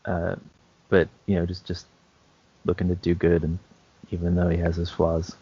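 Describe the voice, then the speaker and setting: A man co-hosting a podcast, talking casually in a halting, measured way with pauses. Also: low voice, monotone, warm tone, slightly rough, dull audio. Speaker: podcast co-host. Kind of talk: casual chat about tabletop roleplaying and comics.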